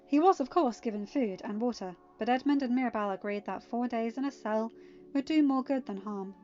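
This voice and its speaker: A woman with a fairly high voice narrates as a podfic reader. Faint music runs behind her, and the recording is slightly dull. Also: brisk, darkish tone. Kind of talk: scripted story narration.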